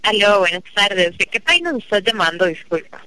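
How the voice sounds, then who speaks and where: Woman, very bright voice, polite call-centre manner, distant phone line. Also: mid-range voice, big pitch swings, moderate pace. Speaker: tech support call agent. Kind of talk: polite customer service talk.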